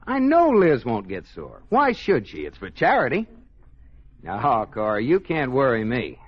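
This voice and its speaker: An actor playing a self-important, easily flustered husband with an American accent in an old radio sitcom. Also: male voice, mid-range voice, wildly swooping pitch, a little slow, a little hiss or hum. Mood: breezily confident. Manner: pompous, stammering when cornered. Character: self-important banker husband, nervously evasive, protesting too much. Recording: muffled old radio recording, studio audience.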